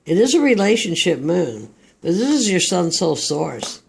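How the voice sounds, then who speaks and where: A man's voice: high voice, very animated, gravelly, a little hiss or hum. A man with a Texas accent giving a tarot card reading in a meandering, reflective way. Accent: Texan. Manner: slow, meandering, reflective delivery. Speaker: tarot reader.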